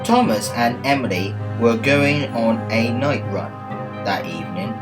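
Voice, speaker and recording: A man reading a children's story aloud as a read-along, in a deliberate, expressive storytelling manner. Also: fairly low pitch. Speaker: storybook narrator. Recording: music bed behind the voice. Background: music.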